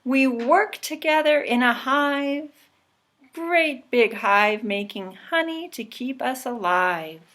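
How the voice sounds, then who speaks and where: A woman's voice: high voice, animated, slow pace, a bit bright, exaggerated voice. A woman voicing an earnest, wide-eyed young honeybee girl from a storybook, with a singsong delivery. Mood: proud, delighted.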